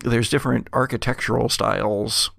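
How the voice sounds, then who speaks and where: A man working as game master, describing scenes of a tabletop horror roleplaying game in a halting, think-aloud way. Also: medium pitch, steady tone, gravelly, fairly bright tone, no echo, clean studio sound.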